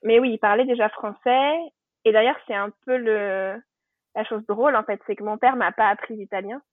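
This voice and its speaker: A woman's voice: on the high side, steady tone, normal speed, no echo. Chatty woman on a thin phone-like line. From Normandy, France. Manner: chatty, anecdotal, thinking aloud. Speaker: podcast guest. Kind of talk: casual personal storytelling. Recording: thin phone-like band, dry.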